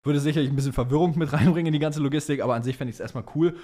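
A man's voice: on the high side, racing, mellow. A young man co-hosting a podcast, chatting casually and enthusiastically in colloquial, slangy language like a keen fan. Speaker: podcast co-host. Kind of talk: casual fan chat about motorsport.

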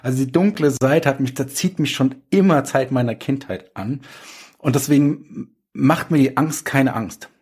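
A man speaking German casually in a discussion, halting and rephrasing as he explains himself. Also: medium pitch, darkish tone, husky. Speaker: thriller author on a panel.

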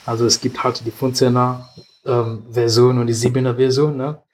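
Flat-voiced man from Kenya. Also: mid-range voice, moderate pace, a little hiss or hum. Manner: simple phrasing, tag questions. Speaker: rugby player. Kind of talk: casual interview answers.

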